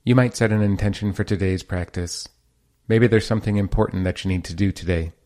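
A man leading a guided meditation as host, giving gentle, measured instructions with pauses between phrases. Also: on the low side, fairly even intonation.

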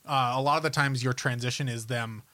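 A man's voice: mid-range voice, fairly even intonation, a little fast, sharp tone, no echo. A man co-hosting a podcast, chatting casually and informally in a rambling way about films and games. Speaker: podcast co-host.

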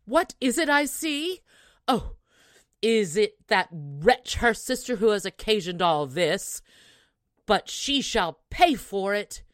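Woman doing a shrill scolding fairy-tale mother. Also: big pitch swings, unhurried, no echo, studio quality. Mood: outraged. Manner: loud, exclaiming, archaic speech.

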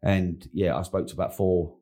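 Low, mellow-voiced London man. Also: normal speed. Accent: London English. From England. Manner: chatty, uses fillers like "like" and "mate". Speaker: podcast host. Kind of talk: casual interview chat.

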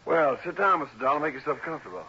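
A man's voice: on the high side, rapid-fire, bright, forceful tone, breathy edge. An actor playing a genial, glib American man in his twenties, hosting in mid-century radio drama style. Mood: jovial, welcoming. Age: 20s. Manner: chatty, hospitable, glib. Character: glib, genial young nephew hosting a party, smooth talker. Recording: dull, hissy old radio recording.

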